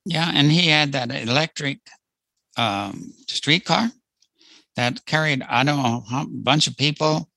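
A man's voice: on the high side, measured pace, vocal fry, pushed and bright, no echo. A man talking casually in a group discussion, hesitant and repeating his phrases. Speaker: book club participant.

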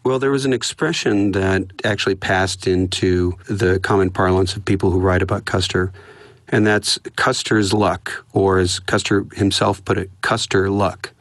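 A middle-aged man, an author, reading a passage and then answering questions in a measured, thoughtful way. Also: sits low, steady tone, a bit bright, echoey room. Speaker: author discussing his biography.

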